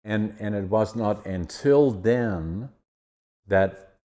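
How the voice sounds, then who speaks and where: An older man from the Netherlands with a Dutch accent, telling his life story in an interview with animated restarts. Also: fairly low pitch, takes it slowly. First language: Dutch dialect. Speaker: guest. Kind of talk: conversational interview.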